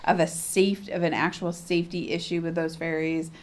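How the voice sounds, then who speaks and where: A woman's voice: fairly low pitch, little pitch movement, normal speed. A middle-aged woman co-hosting a livestream in casual chat, rambling a bit in a worried, self-deprecating way. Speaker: co-host, host's partner.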